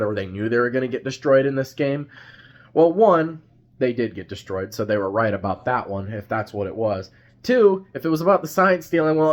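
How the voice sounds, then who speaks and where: A young man with a stuffy, congested voice gives a rambling post-game recap as a sports fan vlogger, full of fillers and restarts. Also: mid-range voice. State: congested, has a cold.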